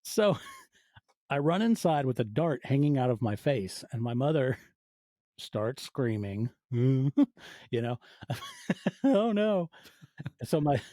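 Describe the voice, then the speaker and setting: A man in his forties with a soft, mellow voice talks as a guest on a podcast. He tells stories in a casual, conversational way, briefly acting out what people said. The sound is very dry, with no room around it. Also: medium pitch, sing-song, slow, pauses often, no echo. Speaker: podcast guest, audio fiction producer. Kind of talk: casual interview storytelling.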